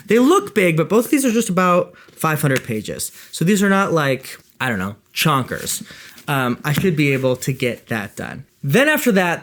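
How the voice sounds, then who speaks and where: A man's voice: on the high side, a bit bright. An American man talking casually and enthusiastically to viewers as a vlogger, often correcting himself in an informal way. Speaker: book and film vlogger.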